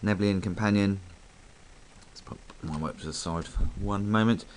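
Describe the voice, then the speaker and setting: A man with a croaky voice from hay fever, chatting casually and rambling a little as he reviews a toy. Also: on the low side, a little slow, a little hiss or hum. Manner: chatty, rambling, full of ums.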